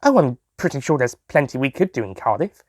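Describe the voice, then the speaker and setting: Eager young female companion, observant and matter-of-fact, lively pitch, audio drama; played by a man. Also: a little fast, breathy edge, no echo, studio quality. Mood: keen.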